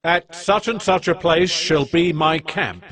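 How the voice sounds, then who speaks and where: A man's voice: fairly high pitch, a little slow, crowd noise, natural voice. A man playing a suspicious, imperious enemy king who declaims in a dramatized Bible reading. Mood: scheming. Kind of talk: dramatized Bible audio acting.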